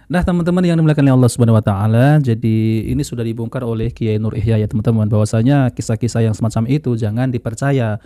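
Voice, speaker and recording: An Indonesian man hosts a casual religious commentary. His voice is soft-edged and mellow, recorded close and dry. He talks straight to his audience in an earnest, cautioning way. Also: mid-range voice, fairly even intonation, fast, no echo. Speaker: video host reacting to a lecture. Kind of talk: informal religious commentary to viewers.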